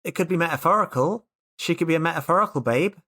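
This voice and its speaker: A player talks through a tabletop role-playing game in casual chat. The voice sits at a middle pitch, and the delivery is emphatic, with words often repeated. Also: expressive, fast talker, a bit bright.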